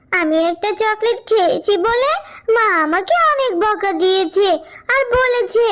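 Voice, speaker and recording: A woman's voice: very high voice, a bit bright, exaggerated voice. A woman voicing a sweet, childlike village girl in a cartoon, whiny and eager, with a pleading manner. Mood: plaintive. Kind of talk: cartoon voice acting.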